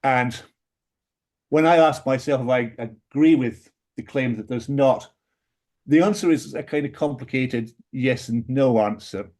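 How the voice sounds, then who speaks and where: A man with a British English accent giving a prepared philosophy talk as a panelist, measured and lecturing, with dry wry asides and emphatic rises on key points. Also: medium pitch, darkish tone. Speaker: panelist in an academic book discussion.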